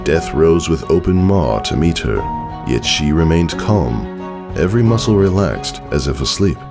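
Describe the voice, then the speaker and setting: A man narrates fiction in a very low voice with a creaky edge. His delivery is measured and dramatic, moving expressively through the lines over background music. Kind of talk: scripted story narration. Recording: music under the voice.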